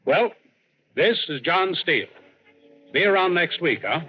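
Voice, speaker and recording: A man's voice: on the high side, animated, a little slow. An actor playing a genial, reflective adventurer who closes his tale in 1940s radio narration. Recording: muffled, faintly noisy vintage radio recording.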